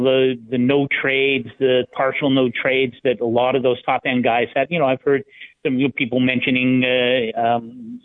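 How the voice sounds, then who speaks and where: A male hockey analyst talking on sports radio in a halting, conversational way, restarting phrases as he thinks aloud. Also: medium pitch. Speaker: sports writer, guest analyst. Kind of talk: hockey talk radio discussion. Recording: thin, phone-like line.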